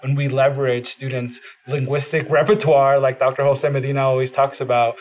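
A man's voice: mid-range voice, level tone, fairly quick. A man whose first language is Spanish, talking earnestly as a podcast guest in a studio, with frequent "uh" fillers. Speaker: podcast guest, bilingual education advocate. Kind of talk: casual interview talk.

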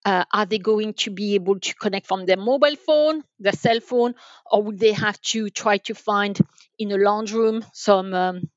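A woman's voice: mid-range voice, normal speed, no echo. Woman explaining in a dull, dry recording. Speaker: podcast guest, enterprise sales manager. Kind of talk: interview answers, explanatory talk.

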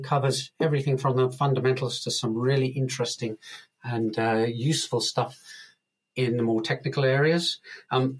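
A man's voice: mid-range voice, poor audio. A man with a mid-range voice, interviewed as an author on a podcast. He speaks casually and explains ideas through analogies. He chuckles lightly as he goes. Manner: relaxed, chuckles often, uses analogies.

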